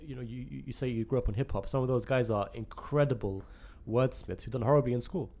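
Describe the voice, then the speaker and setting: Fast-talking West London host man. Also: mid-range voice, mellow. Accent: West London English. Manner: quick, chummy interjections. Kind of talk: casual podcast conversation.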